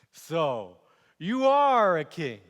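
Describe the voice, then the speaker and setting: Sardonic Roman governor for stage monologue, male actor: high-pitched, forceful, scornful and exasperated, theatrical delivery. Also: extremely slow, heavily acted. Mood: mocking. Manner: animated, scornful, exasperated, swinging from quiet asides to outbursts. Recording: echoey hall with long reverb.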